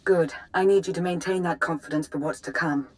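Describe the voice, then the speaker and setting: Lady acting a stern sith minister, lowish voice, clipped and bossy, scheming, video game cutscene. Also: brisk, lightly acted. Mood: calculating, commanding. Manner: authoritative, clipped.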